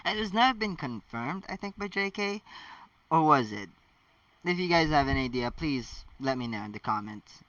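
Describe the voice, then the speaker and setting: A man's voice: on the high side, animated, moderate pace, bright, forceful tone, no room sound. A man chatting casually and reading fan fiction aloud in a rambling, amused way. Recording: distant mic, dull lo-fi sound.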